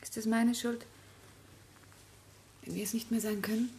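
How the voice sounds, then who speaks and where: A woman's voice: a little high, flat delivery, moderate pace, darkish tone, lightly acted. An actress playing a resolute, reproachful grown daughter and protective mother in a radio drama, firm and emotional. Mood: hurt, resolute. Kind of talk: German radio drama acting.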